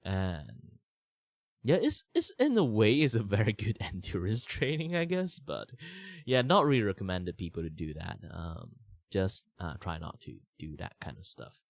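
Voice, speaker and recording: A young man from Malaysia, slightly sick with a rough throat, chatting casually and rambling on his art stream with many fillers and restarts. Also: low voice, big pitch swings, normal speed, no room sound, studio quality.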